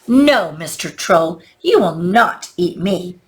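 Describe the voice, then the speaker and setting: A woman's voice: on the low side, lively intonation, slow pace. A woman voicing a big, unafraid male billy goat in a children's storybook, speaking firmly and plainly. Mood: bold, unafraid. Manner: firm, declarative. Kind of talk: children's storybook read-aloud.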